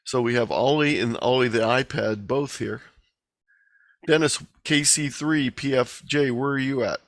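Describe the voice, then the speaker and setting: A man's voice: mid-range voice, measured pace, fairly bright tone, no echo. Man presenting informally, dry close sound. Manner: conversational, explanatory. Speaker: guest presenter. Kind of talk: informal presentation and question-and-answer. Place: online video meeting.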